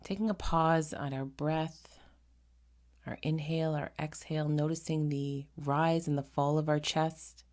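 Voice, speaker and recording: A woman with a low, mellow voice guides a meditation. She speaks very slowly and gently, leaving frequent pauses between short phrases. The delivery is soft-edged and unhurried. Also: steady tone.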